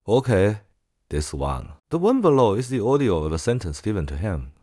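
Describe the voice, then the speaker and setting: A man presenting a software tutorial in a casual way. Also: on the low side, big pitch swings, moderate pace, no echo, clean studio sound.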